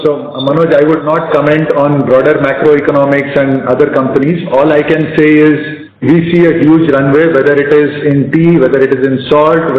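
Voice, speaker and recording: A man's voice: on the high side, dull audio, a little hiss or hum. Indian businessman on an echoey conference call. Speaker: company executive answering analyst questions. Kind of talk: earnings call answers, spontaneous business talk.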